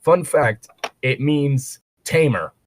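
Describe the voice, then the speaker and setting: A man's voice: medium pitch, expressive, takes it slowly, slight background noise. A man chatting casually between bits of reading fan fiction aloud, rambling and breaking off to comment or swear.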